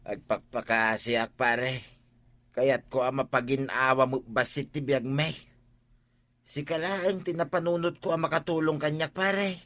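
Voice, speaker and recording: An actor playing an excitable, hard-up middle-aged village man with an Ilocano accent, pleading and complaining in a radio comedy drama. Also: medium pitch, a little slow, close to an everyday voice. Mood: pleading, hopeful.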